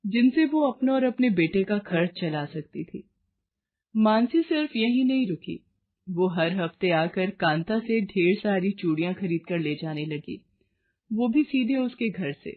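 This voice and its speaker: A woman's voice: on the low side, expressive, measured pace. Woman narrating stories, phone-like sound. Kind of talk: scripted moral-story narration.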